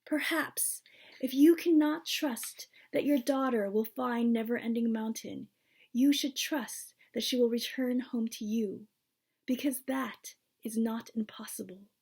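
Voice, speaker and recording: A woman voicing a wise goldfish man in a storybook, gently reproving in a measured, persuasive way. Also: fairly high pitch, vocal fry, husky, heavily acted. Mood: kindly, persuasive.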